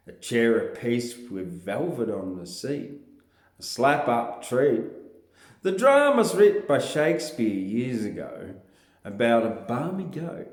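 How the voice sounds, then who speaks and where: Aussie bloke reading comic slang poetry really slowly, swoopy expressive pitch, bit theatrical, roomy echo. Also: male voice. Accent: Australian. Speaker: poetry reader. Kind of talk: dramatic recitation of comic vernacular verse. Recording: roomy, echoing sound.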